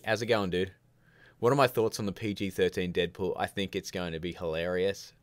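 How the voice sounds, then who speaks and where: Deep-voiced man chatting on a livestream. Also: no room sound. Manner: candid, opinionated, jokey asides. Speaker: livestream host of a filmmaking show. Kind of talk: casual rambling live Q&A chat.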